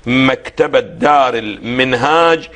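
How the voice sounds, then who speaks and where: Hoarse man teaching religion on TV. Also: mid-range voice, fairly bright tone. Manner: didactic, poses questions for the host to finish; slow, deliberate when reading quotations. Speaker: religious scholar guest. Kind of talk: televised religious lecture.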